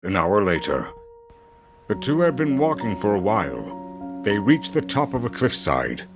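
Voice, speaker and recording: A man's voice: fairly low pitch, big pitch swings, a little slow. A man narrating an audio drama with dramatic scripted storytelling delivery. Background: music.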